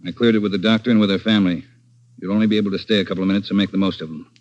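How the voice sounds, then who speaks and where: Guy doing a bossy police captain, quick and lowish, dull old radio sound. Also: fairly even intonation, darkish tone, lightly acted. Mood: commanding. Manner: brisk, commanding. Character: brusque police captain giving orders. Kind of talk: 1940s radio acting.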